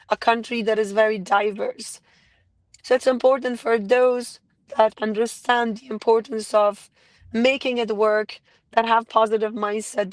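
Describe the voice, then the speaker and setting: A woman's voice: on the high side, no echo. A woman from Afghanistan speaking as an interview guest, talking politics in an earnest, insistent way with frequent restarts.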